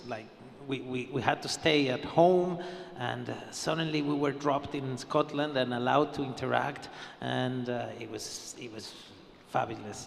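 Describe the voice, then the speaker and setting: A man with a Mexican accent on a convention panel, talking in a halting, enthusiastic way. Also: mid-range voice, vocal fry. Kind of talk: panel discussion. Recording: reverberant hall.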